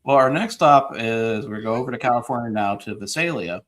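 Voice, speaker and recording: A man producing the show, walking the hosts through team logos in a low-key way. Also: brisk, fairly bright tone. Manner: low-key, guiding the segment.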